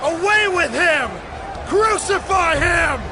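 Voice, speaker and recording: A man's voice: very high-pitched, animated, slow, noisy background, heavily acted. A man acting a hostile, jeering member of a bloodthirsty mob in a dramatized scripture recording. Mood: enraged. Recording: loud music and crowd, distant.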